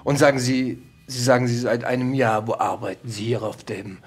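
A man's voice: mid-range voice, little pitch movement, moderate pace, husky, natural voice. A man playing a courteous, concerned investigator with a hesitant, formally polite way of talking in an audio drama. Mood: polite inquiring. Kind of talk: improvised pen-and-paper audio drama acting.

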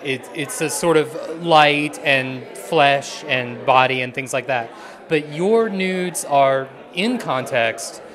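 A man's voice: medium pitch, slow, crowd noise. A man in his thirties interviewing an artist in a gallery, framing questions hesitantly with many fillers, speaking into a handheld mic. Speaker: arts interviewer.

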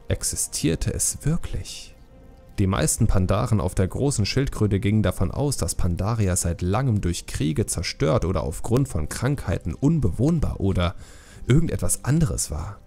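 A man's voice: fairly low pitch, lively intonation, moderate pace, vocal fry, mellow, soft background music. A man reading scripted fantasy narration as an audiobook storyteller.

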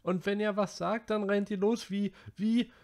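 A man voicing a chubby, anxious schoolboy who pleads in a high, whiny, breathless way. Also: steady tone, unhurried, a little mellow. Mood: indignant.

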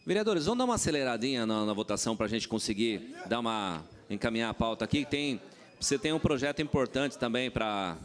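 A mid-pitched man with a São Paulo accent runs a council session. He reads items and roll calls briskly and plainly. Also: expressive. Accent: Brazilian Portuguese, São Paulo state. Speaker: council president chairing session. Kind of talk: procedural chairing. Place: council chamber.

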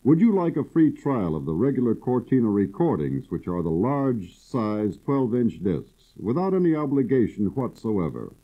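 Male promo narrator, persuasive pitchman, tinny vintage cassette sound. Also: very animated, measured pace, few pauses. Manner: persuasive, salesman-like. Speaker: course announcer. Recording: husky, phone-like old cassette audio.

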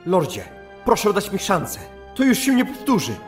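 A man's voice: high voice, wildly swooping pitch, moderate pace, soft background music. A man playing a humble, earnest young elven paladin novice with a deferential manner in a fantasy audio drama. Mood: pleading.

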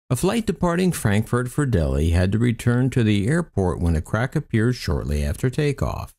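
A man's voice: fairly low pitch, gravelly, mellow. A man narrating aviation news from a script, reading reports aloud with brief comments of his own. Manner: reads news reports aloud with occasional asides. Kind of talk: scripted news narration read over footage.

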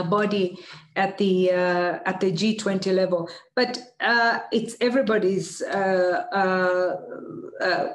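A middle-aged woman answering seminar questions as an official, in a measured, hesitant way on a video call. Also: medium pitch. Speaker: UN environment official, guest speaker. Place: indoors before banners, online video call. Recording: echoey room on video call.

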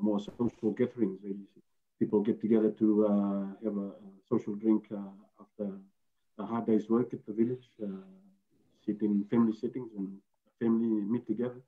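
Male guest from Fiji, lowish voice, halting and full of fillers, tinny video-call audio. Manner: halting, with many fillers and restarts. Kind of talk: explaining casually on a video call.

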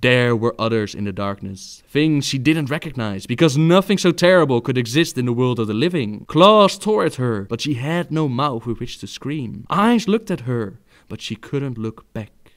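A man telling fantasy game lore as dramatic narration, theatrical and emphatic, with pauses for effect. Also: medium pitch, animated.

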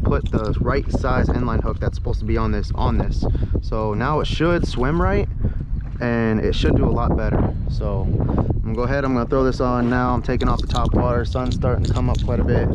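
A man on a kayak chats to his viewers as he rigs lures and catches bait, often stopping to correct himself mid-sentence. His voice sits in a middle register. Heavy wind and slapping water roar over everything. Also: mid-range voice. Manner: rambling, self-correcting, talks to viewers while working. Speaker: kayak fishing vlogger. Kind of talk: casual narration while fishing. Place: kayak on open water, outdoors. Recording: loud wind noise on open water. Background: loud wind and water noise.

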